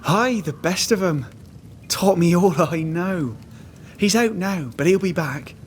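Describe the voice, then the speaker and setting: Casting: starving, loyal trapper in a dark video game story. Higher male register, unhurried, plain rustic dialect, haunted temper. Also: animated, slight background noise. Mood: wistful, uneasy. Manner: rustic dialect phrasing, plain halting sentences. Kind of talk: dramatic video game cutscene acting.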